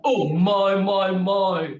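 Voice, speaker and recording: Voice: mid-range voice, fairly even intonation, drawn-out words, crowd noise. A fussing little bee, as a player acts it out. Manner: humming, hesitant, fussing. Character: fussy, kindly little bee. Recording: echoey lo-fi call audio.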